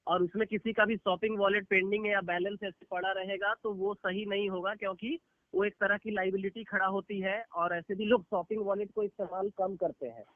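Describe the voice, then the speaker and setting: A man's rather high voice comes through a thin telephone recording. He speaks as a company executive briefing callers, formal and explanatory, sprinkling English business words through his speech. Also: fairly even intonation, a little fast, no echo. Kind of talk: business briefing on a phone call. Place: phone call. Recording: narrow, tinny phone call recording.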